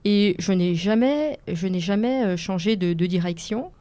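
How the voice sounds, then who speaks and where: A woman in her forties whose first language is Italian speaks as a guest on a radio interview. Her voice is mellow and soft, recorded close and dry in the studio, and she talks with frequent hesitations, fillers and careful restarts. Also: medium pitch, expressive, normal speed, no room sound. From France, Seine-et-Marne. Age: 40s. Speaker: lawyer and author guest.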